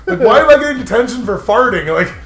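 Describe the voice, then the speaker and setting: A man's voice: very high-pitched, expressive, fast, fairly bright tone. A man co-hosting a gaming show with casual, crude, mock-exasperated comedic commentary. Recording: roomy echo, faint music behind.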